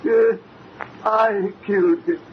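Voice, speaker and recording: Very high-pitched, agitated guilty man. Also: fairly even intonation, slow. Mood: desperate confession. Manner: agitated, stammering. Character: guilty murderer, indignant, then terrified and breaking down. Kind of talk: 1930s radio horror acting. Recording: muffled old radio recording with hiss.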